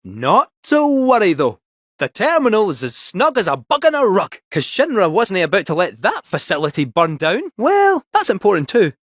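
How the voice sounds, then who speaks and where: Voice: medium pitch, huge pitch swings, normal speed, no room sound, studio quality. An actor voicing a jaunty, theatrical robot cat with a Scottish accent in a video game, helpful, folksy and a little fussy. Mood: brisk, confident. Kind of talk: animated video game character acting.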